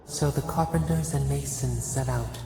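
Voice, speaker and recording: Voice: mid-range voice, normal speed, creaky, background noise. An actor playing an ancient, solemn nature spirit in a video game, speaking cryptic, poetic, portentous lines with an echo. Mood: portentous, reverent. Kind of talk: video game dramatic narration. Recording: echoing voice under prominent music. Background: loud music.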